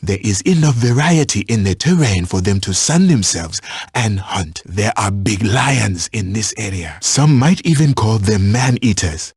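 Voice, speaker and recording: A watchful safari tracker guiding a hunt in a video game. His voice is very husky and fairly low, recorded dry and close. He instructs in formal, measured wording with an animated rise and fall. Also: no room sound, full character voice. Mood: calm, watchful. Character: male African safari tracker, watchful, instructive hunting guide. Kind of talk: scripted video game voice lines.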